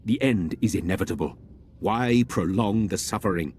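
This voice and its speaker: An actor playing a proud, zealous enemy general in a video game, speaking in a measured, haughty, oratorical way. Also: male voice, low voice, darkish tone, a little hiss or hum. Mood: disdainful. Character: proud, zealous male Imperial general, cold villain. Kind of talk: video game villain monologue.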